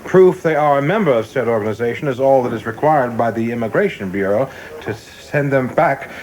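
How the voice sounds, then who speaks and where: An actor playing a young, ambitious government investigator in a radio play, coldly precise, formal and deferential but sure of himself. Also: male voice, medium pitch, expressive, brisk, a little mellow, close to an everyday voice, rough recording. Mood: insistent, assured. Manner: deferential but self-assured, formal and exact.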